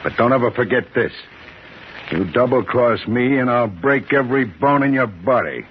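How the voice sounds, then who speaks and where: An actor playing a tough, blustering big-shot New York mob boss, talking slangy and bossy in a 1950s radio drama. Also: male voice, medium pitch, animated, normal speed, husky. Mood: gruff, commanding. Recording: muffled, noisy 1950s radio recording.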